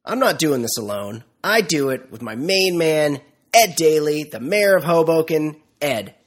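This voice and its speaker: A man's voice: a little high, sing-song, unhurried. Nasal man with a stuffy cold. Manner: mock-formal, teasing. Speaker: podcast host. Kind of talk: casual comedic podcast banter.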